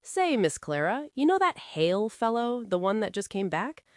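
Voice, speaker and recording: A woman's voice: mid-range voice, big pitch swings, moderate pace, no echo, clean studio sound, voice pushed up, lightly acted. A woman voicing a big, guileless young male ranch hand in an audio drama, chatty, eager and cheerful.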